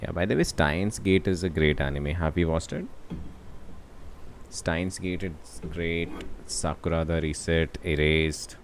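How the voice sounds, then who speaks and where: A man's voice: low-pitched, measured pace, slight background noise. A young man with an Indian accent chatting casually and commenting as he livestreams a game. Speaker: game streamer. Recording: voice over game music.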